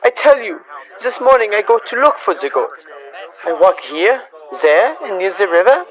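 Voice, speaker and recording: A young-sounding performer voicing an anxious immigrant farmer, halting and plaintive, in a radio western. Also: mid-range voice, big pitch swings, a bit bright, poor audio. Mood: plaintive, rambling. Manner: halting, plaintive, protesting in broken English. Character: anxious immigrant German farmer, man, pleading and simple. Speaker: actor in a student radio drama. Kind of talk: old-time radio western acting.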